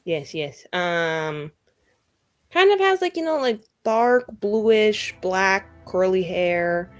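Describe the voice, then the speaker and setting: Woman playing a blunt, nosy, excitable flirt, lively voice. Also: mid-range voice, slow pace, soft background music. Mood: awkward, coaxing. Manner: rambling, slangy, filler-heavy teasing with dramatic gasps. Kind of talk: improvised tabletop roleplay dialogue.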